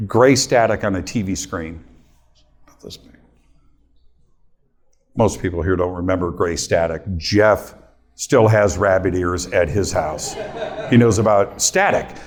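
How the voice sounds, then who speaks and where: A middle-aged man, a radio host, telling a story to a live audience and acting out his own quotes. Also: lowish voice. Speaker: radio host giving a talk.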